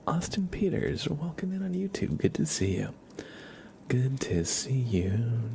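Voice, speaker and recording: A man hosting an ASMR livestream, chatting casually in a soft, hushed voice that often slips into a whisper. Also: medium pitch, very animated, measured pace, vocal fry, a little hiss or hum. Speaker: livestream host. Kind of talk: ASMR, casual chat with viewers.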